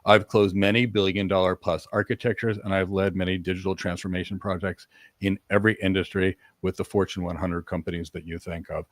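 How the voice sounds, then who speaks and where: A man hosting a live-stream career talk, acting out mock job interview answers in a lively, demonstrative way. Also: deep voice, fairly quick, no echo, clean studio sound. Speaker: host.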